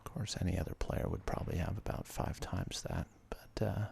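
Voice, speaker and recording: A man whispers very softly and close to the mic, his low voice heavily crackling with fry. He narrates a video game in ASMR style, unhurried and self-deprecating, with casual asides to the listener. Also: steady tone. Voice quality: breathy whisper with gravelly creak. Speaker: host of a gaming ASMR series. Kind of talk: ASMR let's play commentary.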